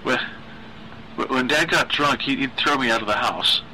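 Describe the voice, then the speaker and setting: A man voicing a timid, unhinged teenage boy in a story, with a halting, high-pitched stutter. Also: bright, forceful tone, a little hiss or hum, low-quality recording. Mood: nervous, halting. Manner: halting, repeating words as he stammers.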